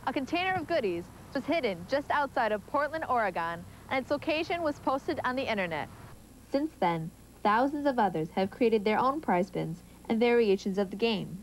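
High-pitched young American woman presenting. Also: expressive, moderate pace, slight background noise, poor audio. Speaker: youth TV show presenter. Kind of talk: scripted presenting and narration. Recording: music bed behind voice.

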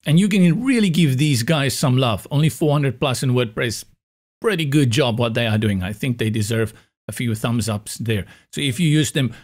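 Upbeat Afrikaans-speaking man explaining software. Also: mid-range voice. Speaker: web tutorial host. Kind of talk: screen-recorded software tutorial. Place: small room with window blinds, indoors. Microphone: large studio mic in front of face.

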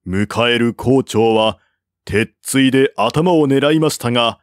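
A man narrates a historical novel in a formal storytelling style. His pace is slow and measured, his pitch is mid-range. The recording is dry, with no room sound. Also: dry recording. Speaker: narrator, audiobook-style reader.

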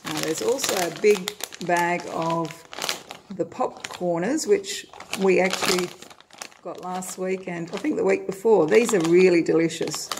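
Australian woman, middle-aged, low gravelly voice, casual haul vlogger at home on a phone. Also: a little slow, background noise. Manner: friendly, chatty, with frequent "uh" fillers. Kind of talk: casual grocery haul vlog. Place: home, indoors, at a table of groceries.

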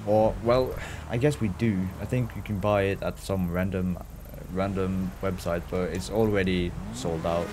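Male game streamer, Norwegian accent, lowish voice, loose casual chat with some swearing, over clear vehicle noise. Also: fairly even intonation, normal speed, darkish tone. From Norway. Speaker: live streamer. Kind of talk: casual gaming livestream commentary, chatting with viewers. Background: game ambience with vehicle sounds.

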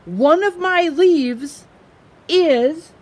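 Storybook squirrel , woman performer: panicky, possessive, melodramatic, very high-pitched and loud. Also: drawn-out words. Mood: panicked, accusing. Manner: exclamatory, melodramatic, accusing. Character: frantic, possessive squirrel, easily panicked, shrill and excitable. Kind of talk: children's storybook read-aloud.

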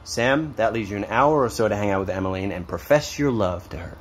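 A man's voice: fairly low pitch, fairly quick, natural voice. A man playing a cocky, teasing American teenage boy in a naturalistic audio drama, with sarcastic banter. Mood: taking charge. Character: cocky, teasing, foul-mouthed teenage boy, loyal boyfriend. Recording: clear background ambient noise.

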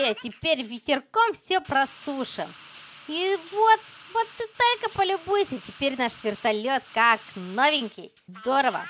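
Female kids' gaming host: high-pitched, bright, exclamatory, chatty play-along commentary. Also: slight background noise. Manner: exclamatory, chatty, addresses the young viewer directly. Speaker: host of a children's gaming channel. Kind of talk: playful game play-along commentary for children.

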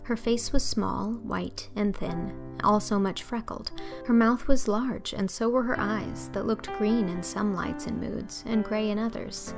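A woman reading scripted audiobook narration, expressive and carefully phrased. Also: medium pitch, measured pace, music in the background.

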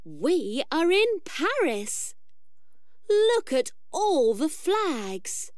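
Woman as a confident child, very slow-spoken. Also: sits high, very animated, darkish tone, dry recording, clean studio sound, pitched above the performer's own voice, exaggerated voice. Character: confident, clever child adventurer in a storybook.

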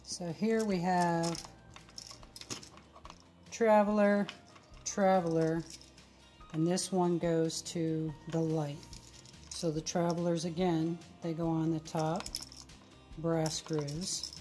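A woman's voice: fairly low pitch, level tone, slow pace, pauses often, warm tone, faint music behind. A middle-aged woman giving a casual, plainspoken, step-by-step tutorial as a DIY video host. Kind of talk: casual hands-on tutorial narration.

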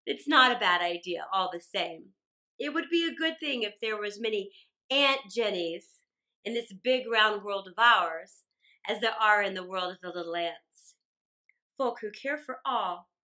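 Woman acting wise storybook dad, patient, gently teasing, lowered pitch, bright tone. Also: unhurried. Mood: amused, teasing. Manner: patient, explanatory. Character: kindly, wise father, gently teasing and explaining. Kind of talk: storybook character voice.

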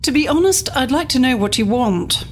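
Woman acting a high-voiced, blunt corporate executive. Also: lively intonation, normal speed, faint music behind. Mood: guarded. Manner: crisp, direct, guarded. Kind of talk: audio drama acting.

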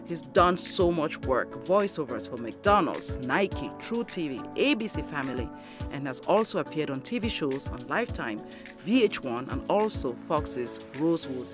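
A woman's voice: sits low, animated, moderate pace, over music. A middle-aged woman hosting a radio-studio interview, who laughs often and chimes in with her guest. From Ghana. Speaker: host. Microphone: studio broadcast mic.

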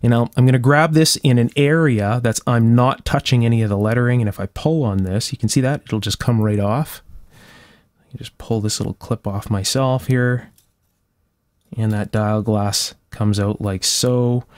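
A man with a medium-pitched voice narrates hands-on repair work in a casual way. He explains each step carefully, like a workshop host walking a viewer through the job. The sound is dry, with very little room in it. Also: normal speed, a little mellow, no room sound. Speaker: electronics restorer and video host. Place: indoor electronics workshop at a bench.

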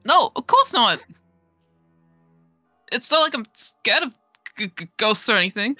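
A brash young raccoon sailor girl from a video game, as acted by a woman. The voice is bright and very animated, with an excitable, boastful temper and chummy sailor slang. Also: on the high side, measured pace, no echo, clean studio sound, faint music behind, heavily acted. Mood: nervous bravado. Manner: Aussie slang, brash, stammering when scared. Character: plucky excitable young female raccoon sailor, nervous braggart. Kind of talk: amateur game fandub.

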